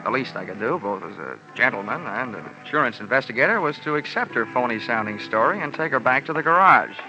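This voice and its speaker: An actor playing a sardonic, hardboiled American insurance investigator in an old-time radio noir, narrating and wisecracking. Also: male voice, medium pitch, expressive, fast talker, over music, lightly acted. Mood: wry narrating. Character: wry, hardboiled male insurance investigator narrating his case. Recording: dull old radio recording.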